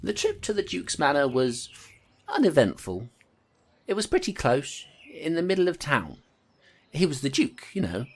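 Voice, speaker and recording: A woman's voice: deep voice, wildly swooping pitch, moderate pace, darkish tone, breathy edge, heavily acted. A woman playing a blunt, wry young constable who narrates her case and answers guardedly. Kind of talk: audio drama narration and dialogue. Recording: music playing behind.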